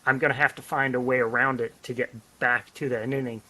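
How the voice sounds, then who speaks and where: A man talking casually as a writer on a podcast, rambling and self-deprecating in an animated way. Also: mid-range voice, quick pace, poor audio. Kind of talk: casual podcast chat about writing. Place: home office, on a remote call.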